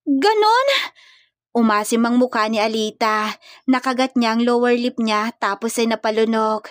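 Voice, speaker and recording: A woman's voice: on the high side, level tone, normal speed, dry recording, studio quality. A woman storyteller reading scripted romance fiction in a dramatic narrative style.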